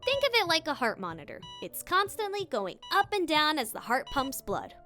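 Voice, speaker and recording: A woman's voice: high-pitched, wildly swooping pitch, normal speed, sharp tone, faint music behind. A woman hosting a scripted, playful tutorial as a voice actor, with theatrical emphasis. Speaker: voice actor and video host.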